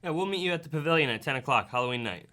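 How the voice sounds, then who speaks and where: A man's voice: fairly high pitch, expressive, racing, heavy background noise, lightly acted. A man acting a proud, defensive middle-school boy in an amateur radio drama. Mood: resigned.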